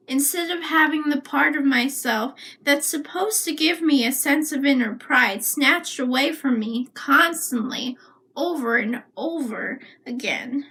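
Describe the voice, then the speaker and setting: Bright, high young woman reading poetry slowly. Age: young adult. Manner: slow, deliberate poetic recitation. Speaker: student poet. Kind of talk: reading her own poem aloud.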